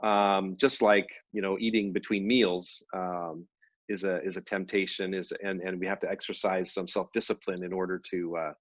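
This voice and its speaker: A man co-hosting a casual, reflective discussion, speaking in a measured, agreeable way with thoughtful pauses. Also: deep voice, flat delivery, lo-fi sound. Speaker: co-host of a family read-along discussion.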